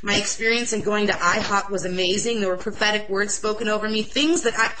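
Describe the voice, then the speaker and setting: A young woman with a naturally loud voice tells her religious testimony in an earnest, excitable way. Her tone is bright and sharp, and her pitch sits in the middle of the range. She speaks as an interview guest. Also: brisk, rough recording.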